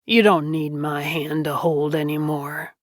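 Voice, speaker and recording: A woman voicing a gentle dying man in an audiobook, speaking weakly and haltingly with tender, loving strain. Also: deep voice, flat delivery, no room sound, clean studio sound, voice pushed down. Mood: tender, fading. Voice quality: weak, strained. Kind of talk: dramatic audiobook character acting.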